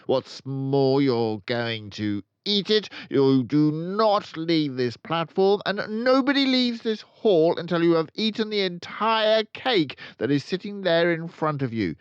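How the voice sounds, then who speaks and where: A man voicing a tyrannical, bullying headmistress in a storybook, shouting and drawing out commands. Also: a little high, very animated, clean tone, no room sound, highs cut off, voice pushed up. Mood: furious. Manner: shouting, drawn-out commanding delivery. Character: Trunchbull, tyrannical bullying headmistress, loud and menacing woman.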